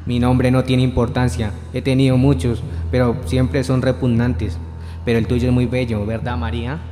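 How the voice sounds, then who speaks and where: Devilish vagabond tempter , male actor, radio play. Grandiose, persuasive, monotone delivery, with music underneath. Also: mid-range voice, moderate pace, lightly acted. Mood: sly, friendly. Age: young adult. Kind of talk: student radio drama reading. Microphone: shared handheld microphone. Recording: echoey hall-like room, music behind.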